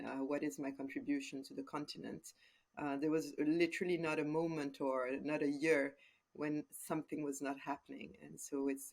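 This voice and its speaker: A woman in her forties from West Africa talks through her answers in an unhurried, reflective way. Her voice sits low for a woman, and she often pauses on soft fillers as she gathers her thoughts. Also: gravelly. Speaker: interview guest, entrepreneur. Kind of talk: casual conversational interview.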